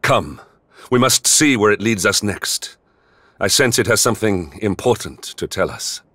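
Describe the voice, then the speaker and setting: An actor playing a stern, remorseful knight general in a video game, speaking formally and gravely. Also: male voice, fairly low pitch, lively intonation, measured pace, a bit bright, no echo. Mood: solemn resolve. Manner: formal, solemn, grave delivery. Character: stern, remorseful male knight general, mature, noble and brooding. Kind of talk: video game cutscene acting.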